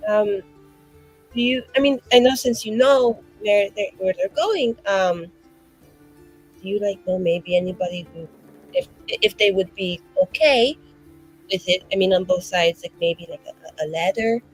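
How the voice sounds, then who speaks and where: A woman's voice: medium pitch, a little slow, darkish tone, husky, faint music behind, poor audio. A woman player speaking in character in a tabletop game, chatty and rambling with constant fillers. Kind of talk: in-character roleplay.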